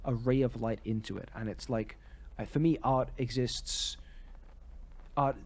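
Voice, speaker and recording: A man's voice: medium pitch, measured pace, a little mellow. A man co-hosting a podcast in casual, opinionated discussion, rambling and often correcting himself mid-sentence. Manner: hesitant, rambling, self-correcting. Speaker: podcast co-host.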